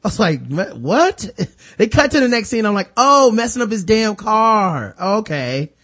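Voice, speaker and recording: A man's voice: high voice, sing-song, a little slow, a bit bright. An American man hosting a casual, profane film discussion, animatedly retelling scenes and acting out mock dialogue. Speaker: movie review podcast host.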